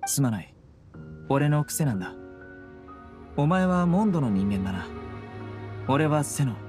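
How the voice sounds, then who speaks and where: An unflappable young game character, blunt and stoic. He talks in terse, deadpan phrases over prominent background music. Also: fairly high pitch, a little slow. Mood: stoic, calm. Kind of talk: Japanese game voice acting. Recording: music playing behind the voice.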